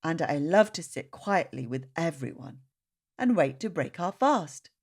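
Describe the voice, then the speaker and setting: A woman reading the words of tender, devout family members in a children's storybook, gently and earnestly. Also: sits low, big pitch swings, unhurried, exaggerated voice.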